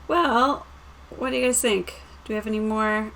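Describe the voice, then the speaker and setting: A woman's voice: on the high side, fairly even intonation, moderate pace, vocal fry, fairly bright tone, a little hiss or hum, rough recording. A woman hosting a stream in casual, conversational chat. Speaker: host of the stream.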